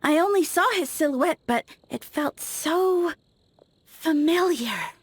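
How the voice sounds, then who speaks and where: A woman voicing a lovestruck young townswoman in a video game dub, talking in a dreamy, halting way. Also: sits high.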